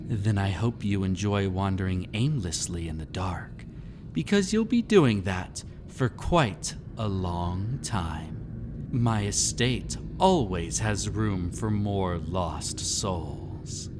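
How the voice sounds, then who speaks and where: A man's voice: on the low side, expressive, drawn-out words, noisy background. A man playing a sinister, centuries-old master of a haunted estate in a dramatic audio roleplay, teasing and taunting theatrically. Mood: whimsical threat. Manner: teasing, taunting, theatrical, with frequent sly chuckles.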